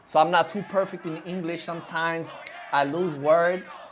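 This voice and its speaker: A man's voice: on the high side, steady tone, moderate pace, a bit bright, a faint crowd, slight background noise. A man whose first language is Spanish, hosting a casual livestream and talking loosely to his chat with lots of fillers. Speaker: livestream host.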